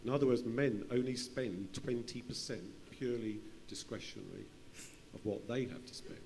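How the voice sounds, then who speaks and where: A man's voice: mid-range voice, normal speed. A man speaking off the cuff on a conference panel, often repeating and restarting his phrases. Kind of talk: unscripted panel discussion. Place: conference session in a large room. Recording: hall reverb with faint hum and noise.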